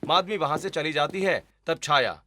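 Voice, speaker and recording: A man's voice: on the high side, expressive, moderate pace, sharp tone, no echo. A man narrating a scripted cartoon family story.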